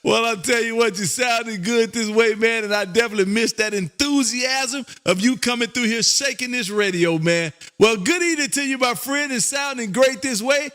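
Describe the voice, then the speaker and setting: A man from Texas hosting a ham radio live stream with a loud, showy, repetitive on-air patter. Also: very high-pitched, moderate pace, hardly any pauses. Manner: loud, showy, repetitive, shouts and half-sings call signs. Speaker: amateur radio operator and live stream host. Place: radio room.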